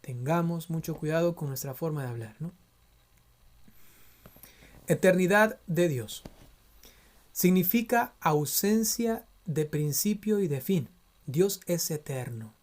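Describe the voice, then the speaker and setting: Earnest Ecuadorian priest, mellow voice. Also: male voice, a little high. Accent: Ecuadorian Spanish. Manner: earnest, admonishing, frequent tag questions. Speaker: priest teaching theology. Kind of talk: theology lecture.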